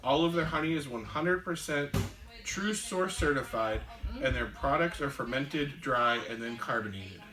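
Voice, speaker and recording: A man's voice: medium pitch, moderate pace, poor audio. A man co-hosting a casual podcast, telling a long story with many "um"s and "uh"s. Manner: rambling storyteller with many fillers. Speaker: podcast co-host. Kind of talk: casual chat.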